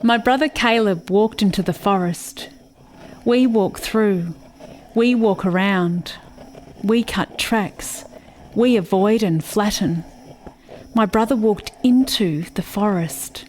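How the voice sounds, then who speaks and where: Slow, haunted settler girl played by a woman. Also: mid-range voice, a little mellow, slight background noise, lightly acted, low-quality recording. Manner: slow, incantatory, repetitive. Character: haunted, dreamy settler girl mourning her lost brother. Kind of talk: literary radio play monologue.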